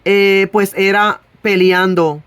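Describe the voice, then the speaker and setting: A woman from Rico, preaching earnestly and sharing her own testimony, drawing out key words and pausing on fillers. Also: mid-range voice, steady tone, clear, resonant tone, dry recording. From Puerto Rico. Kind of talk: sermon with personal testimony.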